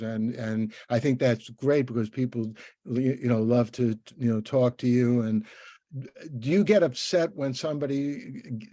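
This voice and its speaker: A man's voice: mid-range voice, mellow, no echo, clean studio sound. An older man conducting a conversational interview, rambling and hesitant as he repeats words and muses.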